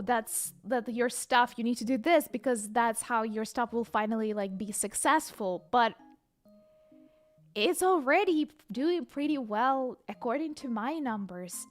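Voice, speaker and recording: A young woman, a livestreamer chatting casually and reading viewer comments aloud, excitable and hesitant in her English. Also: fairly high pitch. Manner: chatty, excitable, bursts of squeals and laughter; hesitant non-native English with many fillers.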